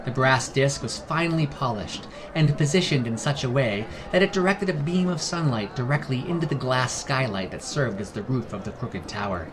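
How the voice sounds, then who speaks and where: A man's voice: mid-range voice, normal speed, hardly any pauses, background noise. A man reading scripted fantasy story narration as the narrator of a fiction podcast. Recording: music behind the voice. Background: background music.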